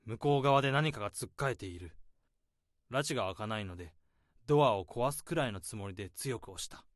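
A man in his thirties narrating a radio drama in the first person, in a slow, subdued, deadpan way. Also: mid-range voice. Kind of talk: radio drama inner monologue.

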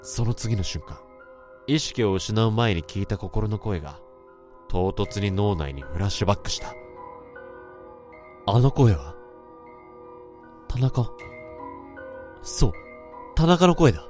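A troubled office worker narrates in the first person, low-voiced, brooding and anxious. Faint music plays under his voice in this radio drama. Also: sing-song, takes it slowly, pauses often, darkish tone. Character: troubled young male office worker, introspective. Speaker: narrator and lead.

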